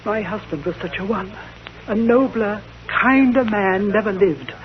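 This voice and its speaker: An actress playing a grieving, vengeful diplomat's widow in a radio drama, speaking formally and with dramatic passion. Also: female voice, medium pitch, a little slow, lightly acted. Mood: impassioned, vengeful. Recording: dull old radio recording.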